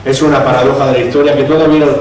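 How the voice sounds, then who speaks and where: A man's voice: medium pitch, little pitch movement, rapid-fire, darkish tone. A man gives a public history lecture. He talks discursively, restarts words and asks rhetorical questions. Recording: echoey hall, distant mic, faint hum.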